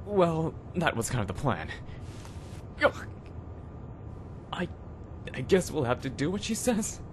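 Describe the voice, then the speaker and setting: An actor playing a baffled high school boy in an anime fan dub, grumbling and incredulous. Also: big pitch swings, slow pace, background noise. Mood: flustered.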